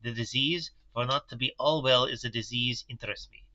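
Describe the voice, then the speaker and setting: A man voicing a kindly, grave elderly professor in a put-on German-style accent, speaking in halting, broken formal phrases. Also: medium pitch, bright, forceful tone, muffled recording.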